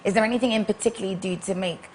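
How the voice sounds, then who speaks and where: A woman's voice: medium pitch, fairly even intonation, rapid-fire, a little hiss or hum. A woman hosting a TV morning talk show, asking curious follow-up questions in a casual chat. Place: TV studio.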